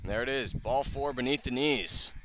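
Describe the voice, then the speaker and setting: Male baseball play-by-play voice, bright and punchy, mid-range, measured descriptive radio calls. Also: medium pitch, a little hiss or hum, low-quality recording. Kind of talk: live radio sports commentary. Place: outdoor ballpark broadcast.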